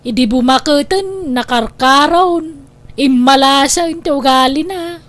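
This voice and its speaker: A woman's voice: high-pitched, a little slow. A woman with an Ilocano accent playing an outspoken, gossipy village neighbour in a comedy drama. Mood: gossipy, disapproving. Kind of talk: Ilocano comedy radio-style drama acting.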